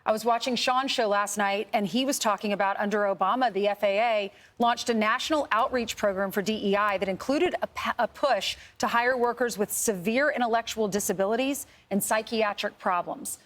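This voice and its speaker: A woman's voice: mid-range voice, fairly quick, few pauses, a bit bright. An American woman co-hosting a morning TV news show, presenting in a conversational style. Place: TV studio couch set.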